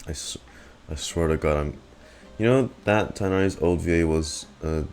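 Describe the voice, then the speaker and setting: A man's voice: sits low, normal speed, darkish tone, soft background music. A young man giving casual, slangy game reaction commentary as a streamer, with muttered asides.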